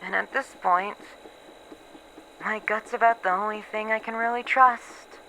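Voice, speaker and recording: Woman acting a slow, despairing, bitter researcher, bright-voiced. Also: medium pitch, steady tone, poor audio. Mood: bitter, anxious. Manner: halting, wry, self-mocking, sighing. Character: despairing, bitter woman researcher losing her identity, resigned to doom. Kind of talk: ASMR horror roleplay audio log. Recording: faint background noise.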